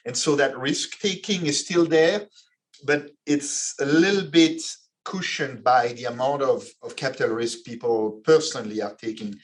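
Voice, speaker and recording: Man from Belgium, forties, podcast guest, measured, many fillers, mid pitch. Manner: measured, with frequent restarts and fillers. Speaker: guest, finance executive. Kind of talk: podcast interview answers.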